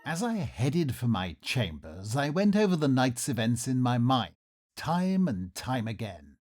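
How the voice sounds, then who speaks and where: A man's voice: mid-range voice, sing-song, unhurried, a little mellow, faint music behind. A man playing a nervous, suspicious Victorian nobleman, speaking in a formal, melodramatic way in an old-time radio theatre comedy. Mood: brooding narration.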